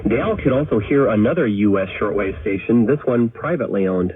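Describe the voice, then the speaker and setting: An American man hosting a radio program, reading scripted narration in a measured, explanatory way to introduce archive recordings. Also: on the low side, lively intonation, phone-quality sound, crowd noise. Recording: band-limited shortwave radio sound.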